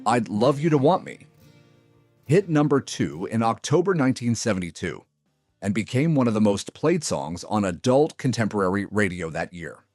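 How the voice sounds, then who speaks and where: A man narrates a scripted countdown in a fairly low voice. His intonation swings widely and expressively. The sound is close and dry, with no room around it. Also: sing-song, moderate pace, darkish tone, no room sound, faint music behind. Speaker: narrator of a music countdown video.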